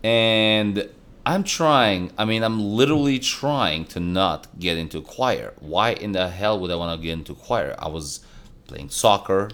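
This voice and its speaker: Deep-voiced man telling a lively story. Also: unhurried. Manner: animated storyteller, playful. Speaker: podcast co-host. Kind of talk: casual chat.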